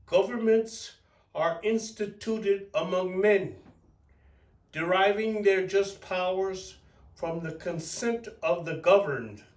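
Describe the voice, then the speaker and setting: A man with a high voice reads aloud formally. He goes slowly, breaking the text into short deliberate phrases. The recording is echoey and distant. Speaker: reader at a public reading. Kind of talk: formal read-aloud of a historical declaration.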